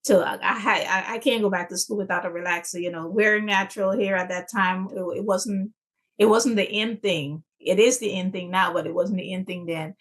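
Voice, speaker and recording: A woman's voice: mid-range voice, fairly even intonation, brisk. A woman from Guyana, a guest on an interview show, telling her story in a chatty, loose way. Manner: chatty, many ums and you-knows, laughs easily. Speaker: guest, legacy coach. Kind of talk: casual interview.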